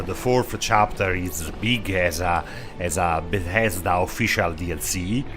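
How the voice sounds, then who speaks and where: A man with a fairly low voice reviews a game mod in non-native English. He talks slowly, in hesitant, semi-scripted phrases, with music clearly playing underneath. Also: fairly bright tone. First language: not English.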